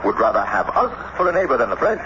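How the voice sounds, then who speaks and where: An actor playing a shrewd, excitable statesman and husband in a period radio drama, theatrical and prone to stammer when flustered. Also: medium pitch, fast talker, natural voice. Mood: excited. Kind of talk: old-time radio drama acting. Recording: muffled, noisy vintage radio recording.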